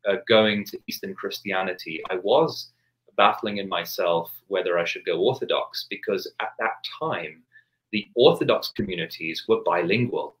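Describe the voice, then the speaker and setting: A man's voice: low-pitched, poor audio. A man in his thirties from England, a priest giving a careful interview answer over a video call, hesitating and restarting often. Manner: measured, many restarts and hesitations. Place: home study with bookshelves, video call.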